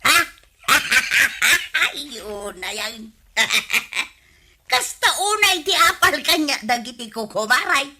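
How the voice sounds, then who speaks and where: Bright, high-pitched giggly Ilocano village wife. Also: female voice, expressive, extremely slow, slight background noise, exaggerated voice. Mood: teasing, amused. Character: giggly, carefree village wife who laughs constantly. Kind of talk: Ilocano radio comedy drama acting.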